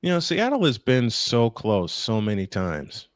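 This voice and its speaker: A man's voice: medium pitch, huge pitch swings, unhurried, no echo, clean studio sound. A man calling a baseball game live as play-by-play host, slipping between commentary and casual chat.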